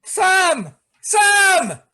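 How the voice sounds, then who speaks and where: A man acts out an excitable neighborhood shopkeeper in old-time radio style. The voice is strikingly high and piercing, full of fretful force. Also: very slow, full character voice. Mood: panicked.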